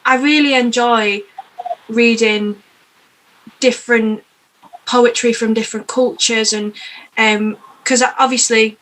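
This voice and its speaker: Higher-voiced woman hosting a podcast. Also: steady tone, fairly bright tone, rough recording. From United Kingdom. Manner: chatty, eager, quick to affirm. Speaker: podcast host. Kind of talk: casual interview chat.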